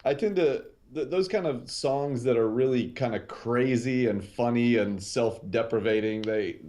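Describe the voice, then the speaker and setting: A middle-aged man, a guest on a video call from a small office, chatting in a relaxed, modest way with easy laughs. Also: medium pitch, measured pace. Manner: relaxed, modest, laughs easily, hesitant with fillers. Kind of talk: casual interview chat.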